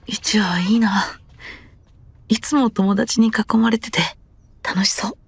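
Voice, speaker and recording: A lonely young boy, speaking slowly and softly in a breathy, very husky voice. He is gentle and hesitant, with a wistful longing, in an anime-style drama. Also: mid-range voice, fairly even intonation, full character voice. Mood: wistful, hurt. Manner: hesitant, soft-spoken, wistful. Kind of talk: Japanese anime-style audio drama acting.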